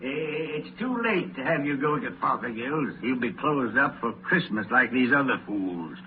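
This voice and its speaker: A man's voice: mid-range voice, animated, moderate pace, phone-quality sound, natural voice. An actor playing a miserly, irritable, cold-hearted old businessman in a 1930s radio drama, grumbling and contemptuous. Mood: grudging, peevish. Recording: noisy, band-limited 1930s radio recording.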